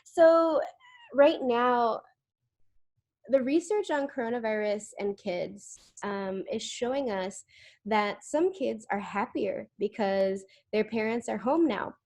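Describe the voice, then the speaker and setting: A woman's voice: fairly high pitch, slow pace, a little mellow. A woman answering questions in a webinar over a video call, chatty, with many fillers and restarts. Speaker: webinar speaker.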